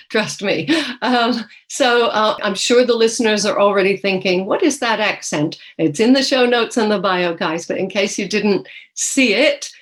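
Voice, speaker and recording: A woman hosting a podcast interview, upbeat and welcoming as she introduces her guest and asks questions. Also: mid-range voice. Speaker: podcast host.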